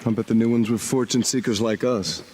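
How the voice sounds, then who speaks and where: An actor playing a brash young thief and fortune seeker in a game cutscene, sarcastic and confrontational. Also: male voice, medium pitch, fairly even intonation, a little fast, darkish tone, big-room sound, a little hiss or hum, lightly acted. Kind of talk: video game cutscene acting.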